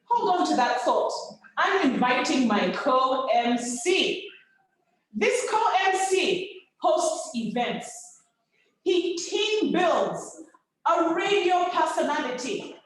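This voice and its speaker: High-pitched, animated woman hosting. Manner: playful, measured, dramatic pauses. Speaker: co-master of ceremonies at a speech contest. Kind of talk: live event hosting. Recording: very echoey, distant mic, faint noise.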